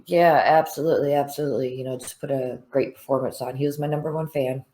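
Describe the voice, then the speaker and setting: Woman, thirties, low pitch, frank, swears a lot, casual interview talk. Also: steady tone, quick pace, a crowd in the background. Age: 30s. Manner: frank, swears freely, many fillers. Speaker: bare knuckle fighter.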